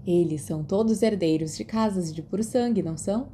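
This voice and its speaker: A woman's voice: fairly low pitch, a little fast, mellow, a little hiss or hum, close to an everyday voice. A woman voicing an outspoken, clever, kind teenage witch girl in a story, with a frank, pointed way of talking.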